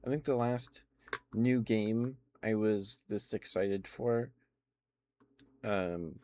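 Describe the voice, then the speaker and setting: A man with a notably low-pitched voice hosts a casual ASMR livestream. He talks in a loose, meandering way, often circling back to correct himself. The delivery is informal gamer chat, unscripted and conversational. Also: slow, a little mellow, no room sound. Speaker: livestream host. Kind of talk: casual rambling ASMR stream chat about video games.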